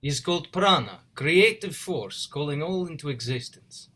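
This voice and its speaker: Man acting eccentric immigrant inventor, highish swooping pitch, courteous, clipped, period stage drama. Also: animated, moderate pace, pushed and bright. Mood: earnest, reserved. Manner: clipped phrasing with dropped articles, courteous. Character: eccentric, formal immigrant male scientist, earnest and awkward. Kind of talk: play reading, period drama. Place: indoors, remote online reading. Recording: video call.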